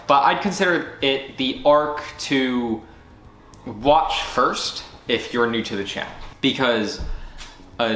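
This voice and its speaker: A man's voice: mid-range voice, fairly bright tone. A man giving a casual solo recap of his channel's year, rambling eagerly with lots of restarts and self-corrections. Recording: echoey room.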